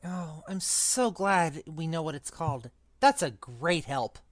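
A woman reads as a blunt schoolboy in a storybook, nervous and sarcastic. The pitch swings widely as he grumbles and exclaims. The sound is close, with no room echo. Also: lively intonation, normal speed, a bit bright.

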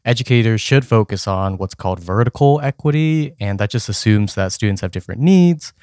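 A man's voice: fairly low pitch, animated, a little fast, a little mellow, no room sound, clean studio sound. Earnest young man podcast co-host. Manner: explains definitions earnestly, some "um" fillers. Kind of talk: casual educational podcast chat.

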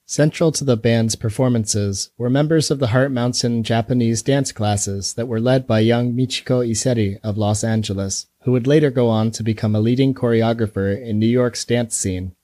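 A man narrating a documentary in a measured, even scripted delivery. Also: mid-range voice. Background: background music.

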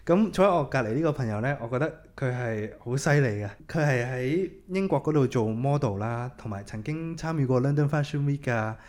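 A man's voice: medium pitch, animated, brisk, few pauses, darkish tone. A man hosting a casual podcast, chatting in an easygoing way and mixing English words into his talk. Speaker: podcast host.

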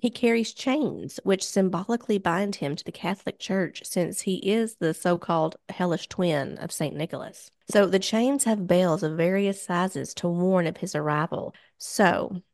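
A woman's voice: fairly low pitch, moderate pace, few pauses, darkish tone, dry recording, studio quality. An American woman hosting a podcast, telling spooky legends in a casual, joking, profane way with plenty of banter.

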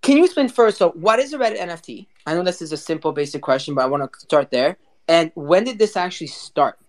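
A man's voice: a little high, lively intonation, quick pace. A man hosting a casual live voice chat, talking in an informal, rambling way and cutting into his own sentences. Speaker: host.

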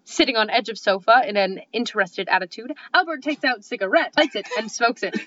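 A woman's voice: fairly high pitch, animated, fairly quick, fairly bright tone. A woman reading a comedic play aloud, stage directions and all. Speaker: play reader. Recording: dull, dry lo-fi sound.

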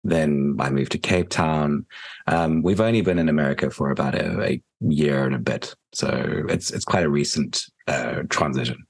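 Very deep-voiced South African man. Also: normal speed. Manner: reflective, with frequent ums and restarts. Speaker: guest. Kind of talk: podcast interview.

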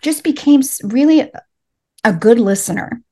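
A woman's voice: on the high side, lively intonation, normal speed, dark tone. Middle-aged woman from LA, spanish first language, thoughtful and earnest, talking about her life in an interview. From Los Angeles, United States. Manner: earnest, reflective storytelling. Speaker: life coach, interview guest. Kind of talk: interview conversation.

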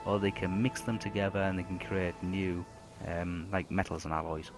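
A man talks in a lowish voice, working through a fantasy scene as game master. He rambles, stalls on fillers and restarts his sentences. Clear music plays underneath him. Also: flat delivery. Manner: rambling, halting with many fillers. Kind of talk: narrating a fantasy tabletop game.

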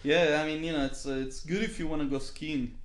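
Casual male podcast host, slightly higher voice. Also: steady tone, a little fast. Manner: informal, mildly swearing banter.

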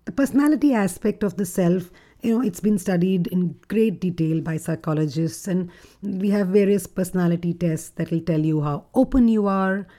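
A woman with a deep, gruff voice hosting a reflective solo podcast as a relationship coach, speaking in a measured, thoughtful way. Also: mellow. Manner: measured, thoughtful delivery with occasional fillers.

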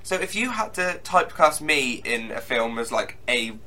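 A young man hosting a podcast, chatting eagerly with quick restarts. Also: medium pitch, very animated, pushed and bright. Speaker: podcast host. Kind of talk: casual chat.

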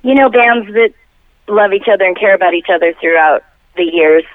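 A woman's voice: mid-range voice, fairly quick, dry recording. A middle-aged woman chatting casually and candidly as an interview guest over the telephone, with good humour. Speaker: interview guest, band singer. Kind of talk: casual chat. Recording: tinny, dry phone line.

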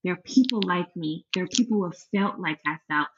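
A woman's voice: lowish voice, animated, brisk, a little hiss or hum. A woman guest talking earnestly and reflectively in a casual conversation. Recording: dull lo-fi sound.

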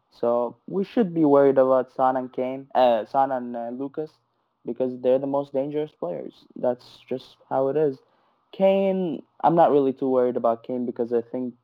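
A man's voice: medium pitch, steady tone, normal speed. Blunt man on a tinny phone line. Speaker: podcast panelist. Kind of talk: casual football chat.

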